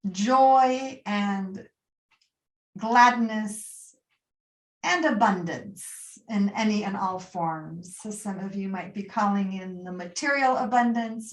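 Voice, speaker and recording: Woman, meditation teacher, medium pitch, soothing, gentle instructions, short phrases with pauses, echoey roomy recording. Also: far from the mic. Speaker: yoga nidra teacher. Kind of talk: guided meditation, spoken live and unscripted.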